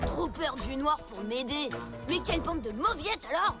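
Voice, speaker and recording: A woman playing a bratty, mocking, bossy young child in an animated children's cartoon. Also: high-pitched, moderate pace. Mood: sarcastic. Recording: music behind the voice.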